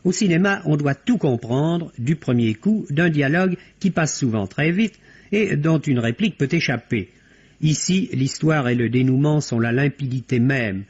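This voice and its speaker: A man's voice: a little high, animated, moderate pace, darkish tone, slightly rough. A man delivering a formal, articulate, scripted film review as a radio critic. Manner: articulate, formal, literary phrasing. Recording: dull, band-limited vintage radio recording.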